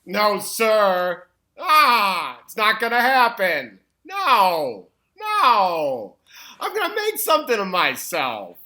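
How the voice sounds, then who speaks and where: Woman voicing an animated, proud young busboy. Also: medium pitch, slow pace, pitched below the performer's own voice, full character voice. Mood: indignant, determined. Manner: emphatic, exhorting. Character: earnest, ambitious eighteen-year-old male busboy, proud. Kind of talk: comedic impression at a table read.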